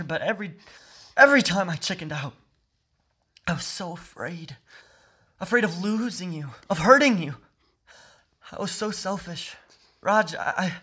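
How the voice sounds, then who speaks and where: A man's voice: sits high, bright, forceful tone, husky, heavily acted. A man acting in an audio drama as a frightened, guilt-ridden young man, pleading and stammering with emotion.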